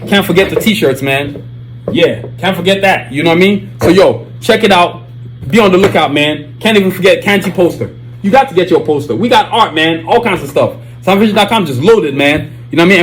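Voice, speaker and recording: Man, Nigerian accent, podcast host, high voice, casual sponsor reads, repeats catchphrases. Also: slight background noise. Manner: animated, repetitive, keeps saying "you know what I'm saying".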